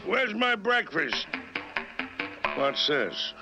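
An actor voicing a loud, blustering caveman husband and football fan in an animated sitcom. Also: high-pitched, very slow, gravelly, full character voice. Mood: indignant. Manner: blustering, exclaiming, grumbling to himself. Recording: loud music behind voice.

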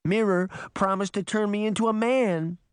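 Man voicing a whiny, high-pitched little puppet boy. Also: steady tone, normal speed, smooth, no room sound, clean studio sound, close to an everyday voice. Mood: desperate pleading. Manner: melodramatic, pleading. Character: whiny, pleading, self-pitying puppet-turned-boy.

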